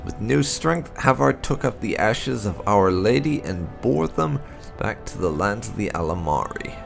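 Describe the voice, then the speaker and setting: A man narrating a video game playthrough, reading lore aloud in an expressive, measured storytelling way with emphatic phrasing. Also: fairly low pitch, creaky, faint music behind. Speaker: gaming channel narrator.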